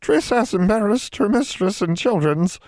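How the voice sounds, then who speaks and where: A servile old female house elf, as a male narrator puts her on, sobs and wails in a squeaky, far-off-sounding voice, dragging her words slowly. She keeps calling herself by her own name. The sound is close and dry. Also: animated, a little mellow, no room sound. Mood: pleading. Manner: sobbing, speaks of herself in third person.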